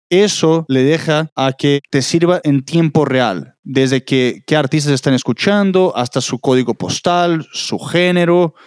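A man's voice: medium pitch, darkish tone, dry recording, clean studio sound. A man giving conversational, explanatory commentary as a podcast co-host.

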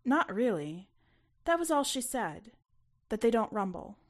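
A woman's voice: on the high side, big pitch swings, a little slow, pitched above the performer's own voice. A woman voicing a curious teenage girl in a story, probing and direct. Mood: hesitant. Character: curious, blunt teenage girl raised on Mars.

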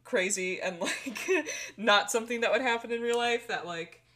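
A woman's voice: fairly high pitch, normal speed, fairly bright tone. A young woman co-hosting a podcast, chatty and giggly, saying "like" often. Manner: giggly, chatty, says "like" a lot. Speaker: podcast co-host. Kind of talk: casual chat.

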